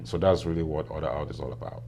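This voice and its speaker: A man's voice: a bass voice, steady tone, fast talker, dark tone, a little hiss or hum. A man with a Cameroonian English accent, a guest talking casually in an interview, chatty and laughing with pidgin-flavoured English. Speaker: guest, business founder.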